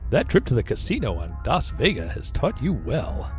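A world-weary scoutmaster in a retro space radio play. His mid-range voice is dry and wary yet swings widely in pitch, with faint music underneath. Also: wildly swooping pitch, normal speed. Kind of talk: retro radio adventure acting. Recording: music behind the voice.

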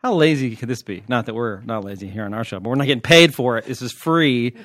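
A man's voice: medium pitch, expressive, quick pace, fairly bright tone, dry recording, studio quality. An American man hosting a podcast, chatting casually and off the cuff in a joking, irreverent and digressive way, with some mild swearing. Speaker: podcast host, TV critic. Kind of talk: casual, unscripted podcast chat.

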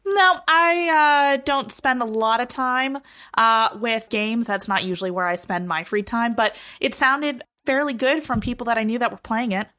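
A woman co-hosting a podcast, giving her opinions in a casual, measured and thoughtful conversational way. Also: fairly high pitch, bright, forceful tone. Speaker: podcast co-host.

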